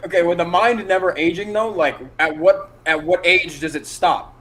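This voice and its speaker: A man's voice: high voice, fairly even intonation, moderate pace, a bit bright, slight background noise. A man co-hosting a casual chat, hesitant and laughing, hedging with lots of fillers. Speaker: co-host.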